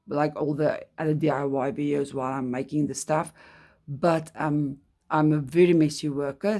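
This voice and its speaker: A woman's voice: low-pitched, a little mellow. A middle-aged woman from South Africa, a native Afrikaans speaker with a South African accent, chatting casually and hesitantly about her hobby with many ums and restarts. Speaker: hobby vlogger. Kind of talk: casual chat.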